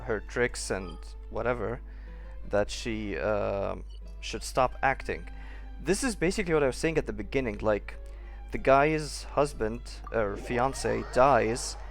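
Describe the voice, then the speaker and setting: A man giving casual, halting playthrough commentary as a gaming streamer, musing in a skeptical way. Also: mid-range voice. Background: faint game music.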